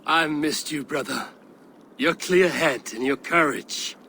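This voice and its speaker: An actor playing a steadfast, wry young Viking warrior in a video game, dry and plain-spoken. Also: male voice, a little high, takes it slowly, pushed and bright, slight background noise, heavily acted. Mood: sincere, steady. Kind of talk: video game cutscene acting.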